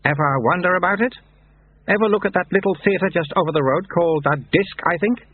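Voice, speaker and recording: A man voicing a gruff, wily old monk sweeper in an audiobook story, with folksy, ungrammatical talk and a raised, animated voice. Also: high voice, brisk, dry recording, narrow, tinny audio, pitched above the performer's own voice. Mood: sly, mentoring.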